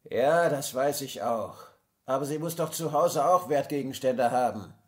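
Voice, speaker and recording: A man acting a slow-talking, impatient kidnapper. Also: mid-range voice, lightly acted. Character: gruff male kidnapper, impatient, slow-witted, easily baffled. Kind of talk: amateur audio drama acting.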